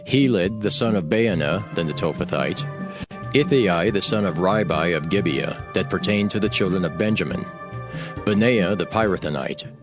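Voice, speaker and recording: A man narrating scripture from a script in a measured, formal manner. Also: deep voice, expressive. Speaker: Bible narrator. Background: background music.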